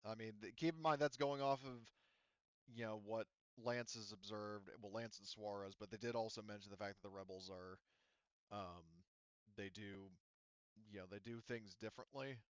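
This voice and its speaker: A man acts as game master for a tabletop game in a fairly low, clean voice. He narrates and explains rules casually, often pausing to think aloud. The recording is dry and slightly dull. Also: fairly even intonation, smooth, dry recording.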